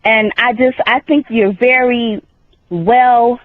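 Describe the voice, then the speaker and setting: Soft-spoken woman on a voicemail. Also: a little high, steady tone, dry recording. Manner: warm praise, halting with fillers. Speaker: caller leaving a voicemail. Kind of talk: voicemail message. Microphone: phone voicemail. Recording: dull, dry voicemail line.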